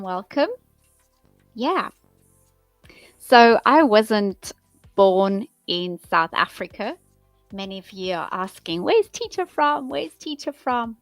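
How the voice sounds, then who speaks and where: Woman, teacher, live-stream host, very slow deliberate speech, dry close sound, faint music behind. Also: medium pitch, no room sound. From England. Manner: slow, friendly, repeats phrases to learners. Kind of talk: live-stream chat with viewers.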